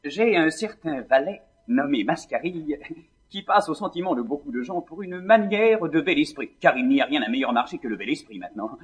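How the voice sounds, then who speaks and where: An actor playing a vain, scornful young gentleman in a classical French stage comedy, declaiming with indignation. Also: fairly high pitch, lively intonation, a little fast, highs cut off, natural voice. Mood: sly, mocking.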